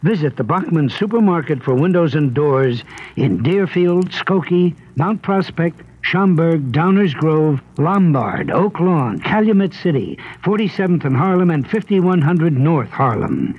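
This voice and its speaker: A male announcer pitches a scripted vintage radio ad like a salesman. His voice is husky, slightly high and very lively in pitch, on a dull old broadcast. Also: measured pace. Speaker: commercial announcer. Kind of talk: scripted 1970s radio advertisement.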